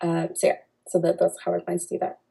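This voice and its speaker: A woman's voice: on the low side, completely flat delivery, quick pace, gravelly, dark tone, slightly rough. A young woman answering as a candidate in a student debate, hesitant and full of fillers. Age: young adult.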